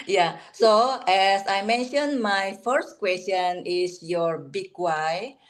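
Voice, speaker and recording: A woman from Indonesia, hosting a live online talk in a friendly, halting way with many fillers. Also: medium pitch. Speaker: host.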